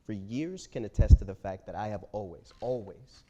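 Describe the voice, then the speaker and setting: A man in a staged reading playing an embattled company boss who feigns wounded dignity, speaking haltingly and solemnly. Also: fairly low pitch, warm tone, lightly acted. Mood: indignant denial. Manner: halting, self-pitying, solemn denials. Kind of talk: staged play reading of a TV interview.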